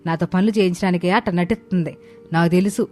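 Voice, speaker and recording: A woman playing a scolding, scheming older mother-in-law in a dramatised family story, with a sharp, accusing manner. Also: fairly low pitch, fairly even intonation, fast talker, faint music behind, close to an everyday voice. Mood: angry nagging. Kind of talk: dramatised moral story acting.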